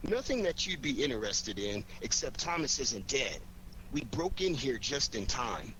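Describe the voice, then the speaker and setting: A cocky quick-witted radio sleuth, old forties show, lots of noise behind him. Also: male voice, medium pitch, very animated, bright, forceful tone. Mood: dry confidence. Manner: wry, quick-witted, commanding. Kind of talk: 1940s radio detective drama acting. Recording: noisy old 1940s radio recording.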